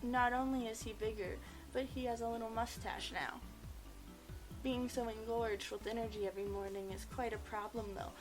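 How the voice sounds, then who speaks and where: A woman reading a visual novel aloud as expressive narration. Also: on the high side, normal speed, low-quality recording. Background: game music.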